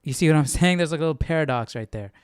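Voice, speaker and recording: Male livestream host: conversational, musing aloud, somewhat raised pitch, very little room sound. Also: very fast, clean tone, no room sound, studio quality. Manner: thinking aloud, often seeking agreement with tag questions. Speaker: livestream Bible study host. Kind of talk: casual commentary.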